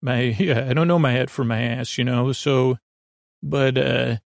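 Gravelly, rambling male bedtime podcast host. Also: medium pitch, steady tone, dry recording, clean studio sound. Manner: meandering, halting, often trailing off and restarting; goofy, self-deprecating. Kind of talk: rambling, casual bedtime monologue.

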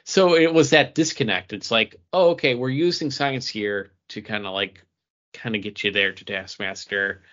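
Man in halting casual chat, muffled. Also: lowish voice, a bit bright. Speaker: podcast co-host. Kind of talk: casual, halting chat about comic book movies.

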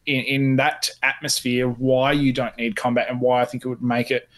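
Man, medium-pitched voice, gaming podcast co-host, casual discussion. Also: completely flat delivery, moderate pace, fairly bright tone.

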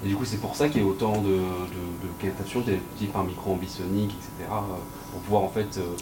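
Low-voiced man, hesitant technical talk, heard from far off in an echoey, noisy space. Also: steady tone, a little fast. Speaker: panel participant. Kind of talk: spontaneous technical remarks. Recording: echoey, distant mic, clear ambient noise.

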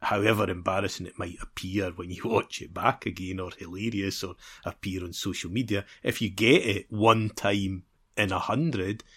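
A man with a fairly low voice talks football as a podcast co-host. He is casual and rambling, restating phrases while he works toward his point, with a wry, teasing edge. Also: steady tone, moderate pace, pushed and bright, breathy edge. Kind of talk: casual sports chat.

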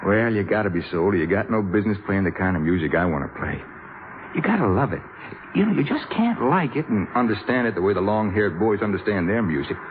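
An actor playing an earnest, shy young American jazz trumpeter with a halting delivery in a 1950s radio drama. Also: male voice, lowish voice, expressive, close to an everyday voice. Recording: muffled, hissy 1950s radio recording.

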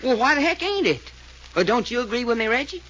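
Folksy Southern cowboy sidekick, brash, drawling, very lively pitch, clear-cut forceful tone gone slightly husky, old radio drama. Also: male voice, fast talker, heavily acted. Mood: indignant. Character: brash, girl-chasing, folksy cowboy sidekick, easily riled. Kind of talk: 1940s radio acting. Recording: dull old-time radio recording.

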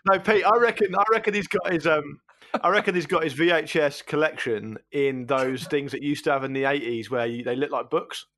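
A man's voice: fairly high pitch, fairly quick, pushed and bright, no room sound. A man giving conversational football punditry, speaking in an emphatic, discursive way close to the microphone. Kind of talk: conversational football analysis and banter.

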